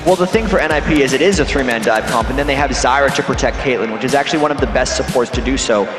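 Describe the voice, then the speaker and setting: A man from North America giving measured, analytical esports color commentary on a headset at a packed convention hall. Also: mid-range voice. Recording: echoey arena with music behind.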